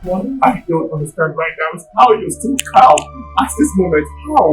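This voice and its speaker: A man's voice: fairly high pitch, lively intonation, moderate pace, soft background music, close to an everyday voice, low-quality recording. A man playing a palace driver who defends himself indignantly.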